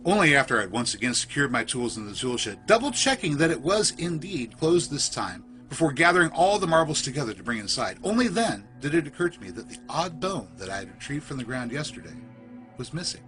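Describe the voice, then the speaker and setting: A man reading a scripted first-person horror story in a literary, measured, suspenseful storytelling manner. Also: on the high side, big pitch swings, sharp tone, faint music behind. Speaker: storyteller, podcast narrator.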